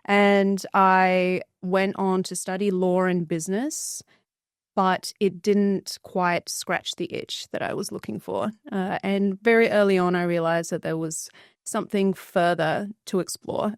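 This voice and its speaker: Smooth-voiced woman guest. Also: on the low side, no room sound, clean studio sound. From Bulgaria, raised in Australia. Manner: articulate, fluent storytelling. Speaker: guest entrepreneur. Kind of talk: podcast interview.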